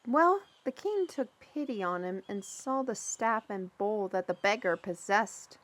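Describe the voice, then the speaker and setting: A woman playing a chatty, easily flustered storyteller in a fantasy audio roleplay, with an expressive, hesitant delivery. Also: medium pitch, a little slow, natural voice. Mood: musing, amused. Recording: music behind the voice.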